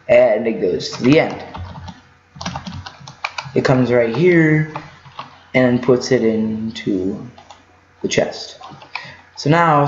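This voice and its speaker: A man giving a casual, unscripted video game walkthrough, halting and rambling as he thinks aloud. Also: mid-range voice, darkish tone. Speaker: gaming tutorial host. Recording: muffled lo-fi mic in echoey room.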